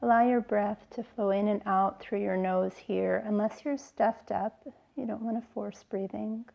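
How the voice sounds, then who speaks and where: A woman yoga teacher leading a guided relaxation in a soothing, gentle way, with unhurried phrases and pauses. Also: mid-range voice, steady tone, creaky, lo-fi sound. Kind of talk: slow guided relaxation instruction.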